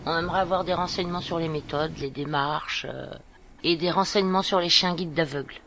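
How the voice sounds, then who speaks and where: A woman playing a brisk, encouraging and slightly bossy companion to a blind girl in an amateur audio drama. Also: low-pitched, fairly bright tone. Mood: polite, interested. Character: brisk, upbeat woman looking after a blind teenage girl. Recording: clear background noise.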